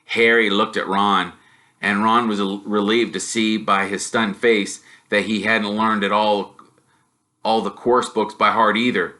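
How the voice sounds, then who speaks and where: Bright-voiced man narrating a story. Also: fairly low pitch, little pitch movement, unhurried. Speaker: storybook reader, teacher.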